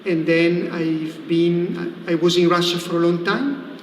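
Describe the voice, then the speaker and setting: A high-voiced Italian man speaks as a missionary priest in a livestream interview. His English has an Italian accent and he pauses to search for words. The room rings with long echo over faint noise. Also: steady tone. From Italy. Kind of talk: conversational religious talk in a livestream interview.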